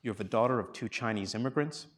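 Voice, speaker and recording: A man hosting an on-stage talk, formally introducing a guest and asking measured questions before a live audience. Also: fairly low pitch. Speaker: interview host and moderator.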